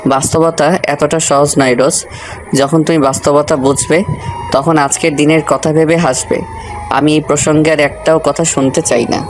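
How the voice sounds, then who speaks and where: A man playing a calm, strict college teacher in an audio love story, measured and dismissive in manner. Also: on the high side, faint music behind, natural voice, rough recording. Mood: calm, firm.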